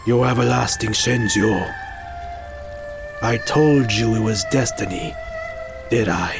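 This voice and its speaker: A man's voice: fairly low pitch, slow, gravelly, over music. A man playing a loyal, solemn village musician who speaks formally and earnestly in an audio drama. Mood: gently confident.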